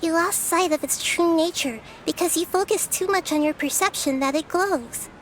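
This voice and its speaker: High little goddess girl, calm n wise, kinda teasing, game cutscene. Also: very noisy. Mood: cryptic, guiding. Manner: measured, speaks in riddles. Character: small serene childlike goddess, wise, gently teasing. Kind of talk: video game cutscene acting.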